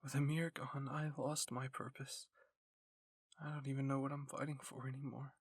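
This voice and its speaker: A man's voice: medium pitch, level tone, normal speed, slightly rough, dry recording, studio quality. Man voicing a subdued, disillusioned young anime woman. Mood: downcast. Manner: subdued, downcast. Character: sad, disillusioned young woman soldier, quietly bitter. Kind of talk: anime fan fiction roleplay.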